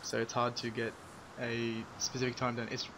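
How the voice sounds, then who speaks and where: A man hosts a casual group podcast over an online call. His voice stays flat and even, loose with fillers and easy laughs. A clear hiss of noise and faint room sound sit under the line. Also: medium pitch, moderate pace. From Australia. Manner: laid-back, filler-heavy, laughs often. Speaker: podcast host.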